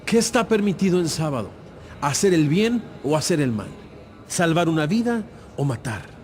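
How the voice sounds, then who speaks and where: A man playing a calm, firm teacher with measured, emphatic delivery in a dramatized audio Bible. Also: a little high. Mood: challenging, commanding.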